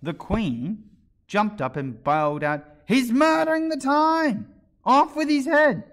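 Storybook eccentric: haughty, mournful, contrary man, pitched very high with swooping delivery. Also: expressive, moderate pace, fairly bright tone. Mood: mournful, indignant. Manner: argumentative, emphatic, contrary.

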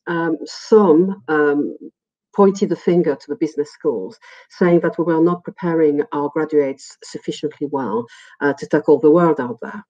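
A middle-aged woman with a French accent presents a webinar as a lecturer in a conversational, halting, digressive way, often repeating words. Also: low-pitched, dark tone. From France. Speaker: university lecturer. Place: small indoor room, video call. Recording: muffled, dull webinar audio.

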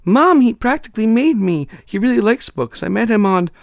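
A fierce, protective young girl from a storybook, pitched high above the reader's own voice and scolding at full volume, as a man reading aloud puts her on. She is bossy and sure of herself. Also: expressive, normal speed, gravelly, no echo, studio quality. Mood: pleading. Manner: loud, indignant scolding.

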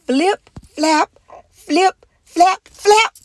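A woman voicing a kindly bird flight teacher in a storybook, gentle, coaxing and instructive. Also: very high voice, drawn-out words, no room sound, pitched above the performer's own voice, heavily acted. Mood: encouraging.

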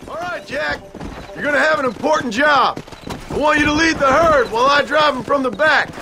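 Gruff Western rancher father, noisy outdoors. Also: very high voice, fairly quick. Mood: polite, businesslike. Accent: American Western drawl. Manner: terse, dry. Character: gruff, weary former outlaw turned rancher father. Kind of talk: video game dialogue acting.

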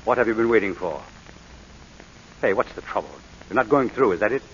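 A suave schemer with a butler's formal polish in an old radio play. He talks quickly with lively swings of pitch. The vintage recording is muffled and noisy. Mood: pressing, menacing. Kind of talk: 1940s radio acting.

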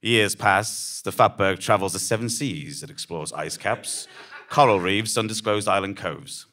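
A man's voice: on the low side, measured pace, bright, forceful tone. A man reading a scripted story live on stage as dramatic narration, grave and emphatic. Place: on a small theatre stage before a seated audience. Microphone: stand microphone.

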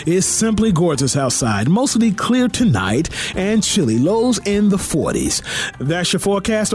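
A man with a high voice presents news-talk commentary on the radio. His delivery is punchy and very animated, full of theatrical emphasis and teasing asides. He is close on a broadcast mic in a studio, with light music behind him. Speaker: radio host. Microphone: close broadcast boom mic. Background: music bed.

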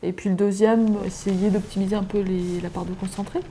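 A woman's voice: mid-range voice, flat delivery, fairly quick, dark tone, slightly rough. A woman, a farm adviser explaining audit results methodically at a farmhouse kitchen table, with many fillers.

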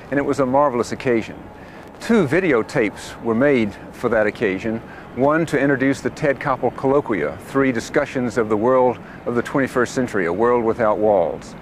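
A middle-aged man who leads a university, addressing the camera in a measured, stately way in a grand hall. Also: mid-range voice, a little hiss or hum. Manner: measured, stately delivery with some hesitations. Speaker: university leader.

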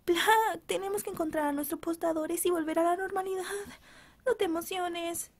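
A woman's voice: very high voice, fairly even intonation, moderate pace, pitched above the performer's own voice. A woman voicing a small female spirit, sweet and prim but bossy. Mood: scolding.

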